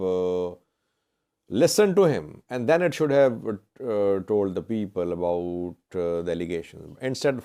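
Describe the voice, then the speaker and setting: A man presenting news commentary to viewers in halting, deliberate phrasing, often correcting himself. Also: lowish voice, mellow, clear, resonant tone. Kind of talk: semi-scripted news commentary.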